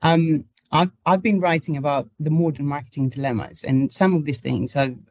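A woman's voice: sits low, moderate pace, darkish tone, no room sound, muffled recording. A woman hosting a conversational podcast interview, speaking in a hesitant way and often restarting her phrases.

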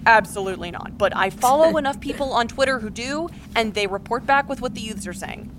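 High-voiced, wry, supportive best friend. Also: female voice, lively intonation, brisk, fairly bright tone, slight background noise. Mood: wry, teasing. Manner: casual, wry, encouraging. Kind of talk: naturalistic audio drama dialogue.

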